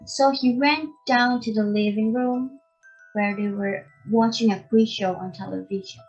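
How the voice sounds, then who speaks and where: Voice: steady tone, a little slow. A young adult reader giving a dramatic reading of a children's fantasy story. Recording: muffled low-fidelity recording with music behind.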